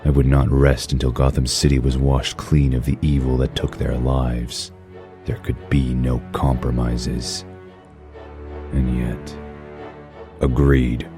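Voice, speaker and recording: Male actor as grim comic-book crimefighter, rock-bottom pitch, clipped and solemn, light score behind. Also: completely flat delivery, slow pace, music in the background. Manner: terse, solemn, menacing. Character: grim brooding vigilante in a mask, adult man. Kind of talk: comic-book audio drama acting and narration.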